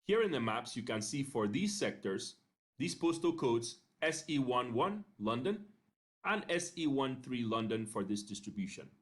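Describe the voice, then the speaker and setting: A man narrating an instructional screencast in a measured, step-by-step way, pausing briefly between phrases. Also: medium pitch, big pitch swings. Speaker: software tutorial narrator.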